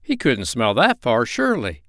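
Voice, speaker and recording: A man voicing an excitable, kindly gentleman doctor in a children's storybook. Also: mid-range voice, moderate pace, no echo, studio quality. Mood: puzzled.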